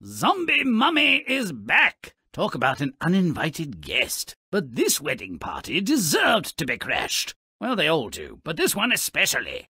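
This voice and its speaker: An actor voicing a gleefully malicious little dwarf storyteller in a video game, raspy and gravelly, with a theatrical, sardonic manner. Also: male voice, a little high, huge pitch swings, measured pace, few pauses, bright, forceful tone, no room sound, studio quality, full character voice. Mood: gleeful, mocking, then sardonic narrating. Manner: theatrical, sardonic, relishing nasty words. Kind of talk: video game character narration.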